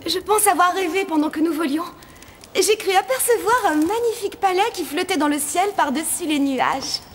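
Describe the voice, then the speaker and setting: A woman voicing a gentle, earnest young princess in an animated fairy tale, wide-eyed and expressive. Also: very high-pitched, normal speed, slight background noise. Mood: wondering, hopeful.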